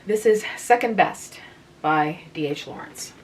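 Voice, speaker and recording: A woman reading a short story aloud in a calm, unhurried way, hosting her own channel. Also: lowish voice, a little hiss or hum, poor audio. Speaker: reader and channel host.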